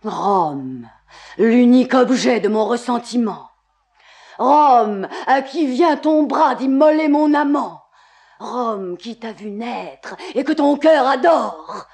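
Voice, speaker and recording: A woman's voice: fairly high pitch, lively intonation, slow, a little mellow. An actress playing a grief-stricken young Roman woman cursing her homeland, in vehement classical French verse declamation. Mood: bitter hatred.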